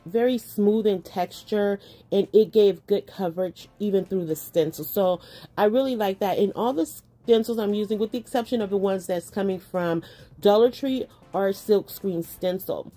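A chatty woman host with a slightly raspy, mellow voice, talking warmly and casually to her viewers. She sounds like she is recovering from an illness, with light music playing softly behind her. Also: medium pitch. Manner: chatty, affectionate, laughs often. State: recovering from illness, dry cough. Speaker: craft channel host. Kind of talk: casual voice-over while crafting. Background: faint background music.

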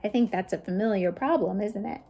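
A woman's voice: medium pitch, fairly quick, dark tone, husky. A middle-aged woman hosting a children's storytime, reading picture books expressively and chatting to young listeners with questions and asides. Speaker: youth librarian hosting storytime. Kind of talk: children's picture book read-aloud.

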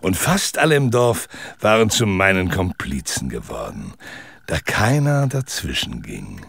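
Gravelly, smug killer. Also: male voice, deep voice, wildly swooping pitch, fairly bright tone, no echo, exaggerated voice. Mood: smug confession. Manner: gloating, deliberate, theatrical. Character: smug, cold-blooded murderer confessing his crimes. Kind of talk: German audio drama acting.

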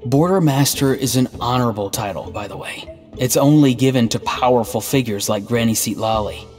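Man voicing a mild, deadpan young man, reverberant. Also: mid-range voice, fairly quick, faint music behind, lightly acted. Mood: deadpan, correcting. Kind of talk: scripted video game dialogue.

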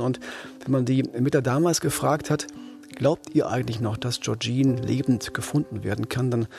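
A man with a mid-pitched voice talks as a crime reporter on a podcast. He explains things in a conversational, measured way, with soft music underneath. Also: creaky. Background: background music.

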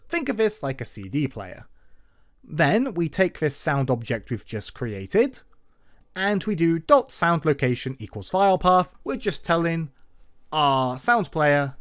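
A man hosting an informal programming tutorial as a voice-over, playful and lightly sarcastic in manner. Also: fairly high pitch. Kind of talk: informal screen-recorded tutorial narration. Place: voice-over for screen recording.

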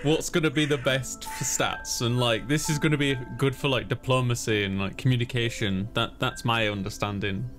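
A young man gives casual let's play commentary on a stream. His voice sits at a middle pitch, thinking out loud in an informal way, with faint music behind it. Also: steady tone, normal speed, hardly any pauses. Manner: musing aloud, informal. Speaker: video game streamer.

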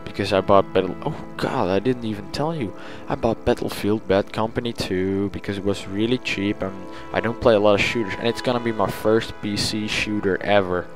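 A man's voice: on the low side, a little fast, few pauses, music in the background. A man talking casually in an audio log as a gaming channel host, chatty and thinking aloud.

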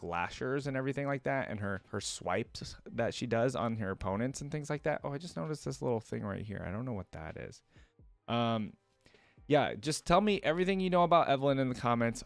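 A man's voice: mid-range voice, no echo. A man in his twenties chatting casually to viewers as a reaction video host, rambling and tentative.

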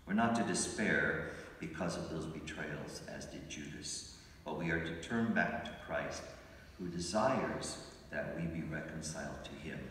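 A deep, low-voiced older priest preaches a homily in a slow, measured and reflective way. His voice rings through a large church with a long reverb, picked up from far back in the pews. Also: a little hiss or hum. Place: large church sanctuary, at a pulpit. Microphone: pulpit mic, recorded by a phone from the pews.

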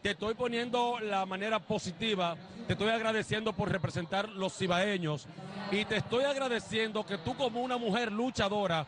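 A man in his thirties with a Dominican accent, reporting live and loudly into a handheld mic on an outdoor basketball court. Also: high-pitched. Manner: loud, energetic, drawn-out emphasis. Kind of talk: live on-location TV interview. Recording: noisy crowd outdoors.

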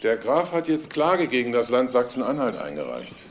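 A man's voice: medium pitch, big pitch swings, moderate pace, slight background noise, lightly acted. A man playing a dogged police detective in a crime drama, questioning pointedly and insistently. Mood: matter-of-fact. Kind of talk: German crime drama acting.

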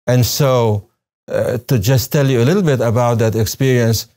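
A man over sixty with a soft-edged, mellow voice and a Syrian Arabic accent. A native Arabic speaker, he shares personal recollections as a guest in a studio interview. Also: mid-range voice, moderate pace. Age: 60s or older. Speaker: interview guest, retired religion professor. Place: television studio, seated at a desk. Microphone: lapel mic.

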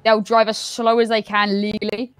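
A woman's voice: mid-range voice, level tone, normal speed, a bit bright, clean tone, dry recording. A woman chatting casually as a player in a police roleplay game. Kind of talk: casual in-game radio chat.

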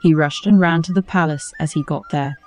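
A woman's voice: low voice, a little fast, darkish tone. A woman narrating a scripted folktale as a storyteller. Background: music under the voice.

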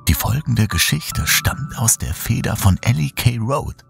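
A man reading a horror story aloud in slow, hushed, dramatic narration. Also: mid-range voice, sing-song, vocal fry, over music. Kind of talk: scripted horror audiobook narration.

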